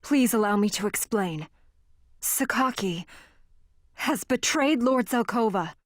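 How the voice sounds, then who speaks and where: A woman's voice: a little high, expressive, a little slow, no echo. An actress voicing an earnest young woman of a guild who formally begs for help. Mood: urgent. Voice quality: formal, polite. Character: young female guild member, earnest and pleading. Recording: game cutscene with music underneath.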